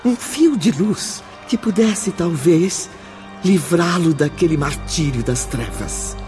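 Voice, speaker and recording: A Brazilian man narrating a spiritual radio drama solemnly, with measured dramatic pauses. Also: fairly high pitch, animated, dark tone. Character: solemn male storyteller of a spiritual tale. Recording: music bed behind the voice.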